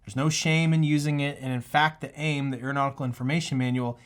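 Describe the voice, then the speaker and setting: A man's voice: mid-range voice, fairly even intonation, fast talker, smooth. A man speaking casually and earnestly, like an instructor hosting a tutorial, with a reassuring, encouraging manner. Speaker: pilot and aviation tutorial host. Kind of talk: casual, encouraging advice talk to camera.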